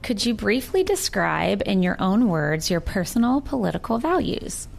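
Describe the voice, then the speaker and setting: A woman's voice: mid-range voice, big pitch swings, moderate pace, gravelly, slight background noise. An American woman in her forties talking earnestly and reflectively in a sincere personal conversation. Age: 40s. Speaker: participant in a recorded one-on-one conversation.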